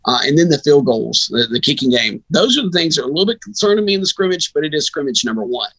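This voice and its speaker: Bright, husky-voiced sportscaster man. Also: a little high, big pitch swings, fast, no echo, rough recording. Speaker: radio sports broadcaster, guest. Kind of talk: casual sports analysis, conversational.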